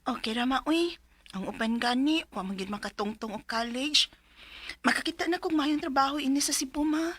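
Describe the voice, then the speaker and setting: Earnest, high-voiced young Cebuano daughter. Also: female voice, moderate pace, a bit bright, slightly rough, no room sound. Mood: earnest pleading. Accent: Cebuano Philippine. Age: young adult. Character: earnest young Filipina daughter seeking work. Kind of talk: 1990s Bisaya radio drama acting, narrating her story.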